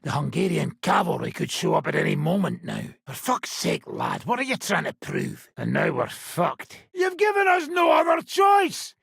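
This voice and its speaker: An actor playing a brash, foul-mouthed medieval mercenary commander in a video game, with a rough, raspy voice and a coarse, sardonic swagger. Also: male voice, a little high, huge pitch swings, normal speed, hardly any pauses, a bit bright, no echo, raised voice, clean studio sound, exaggerated voice. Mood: gruff, sardonic, then angry shouting. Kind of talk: video game character acting.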